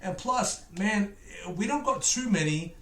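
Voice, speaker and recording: A man's voice: sits high, expressive, measured pace, roomy sound. A man from England with an Australian accent, talking casually to viewers in a music reaction video, chatty and joking with rambling asides.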